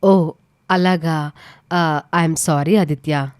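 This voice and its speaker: A woman's voice: sits low, steady tone, slow, a little mellow, clean tone, no room sound, clean studio sound. A woman playing a polite, reserved young woman with a hesitant manner in a story drama. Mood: disappointed.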